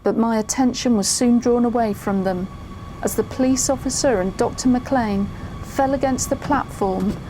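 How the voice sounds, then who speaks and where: An actress playing a woman who narrates events she witnessed, in measured, suspenseful audio drama style. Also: on the high side, mellow, slight background noise, close to an everyday voice, rough recording. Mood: suspenseful narration. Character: female storyteller recalling a tense witnessed drama. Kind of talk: scripted first-person drama narration.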